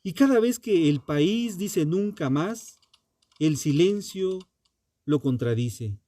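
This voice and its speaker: Male true-crime narrator, solemn and dramatic, slightly high-set but mellow tone, unhurried documentary read. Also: animated, no room sound. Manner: solemn, dramatic, short measured phrases with pauses.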